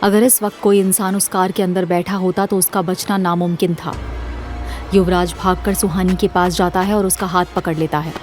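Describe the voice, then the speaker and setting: Low-pitched woman narrating horror over music. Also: level tone, normal speed, darkish tone. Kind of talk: scripted horror story narration.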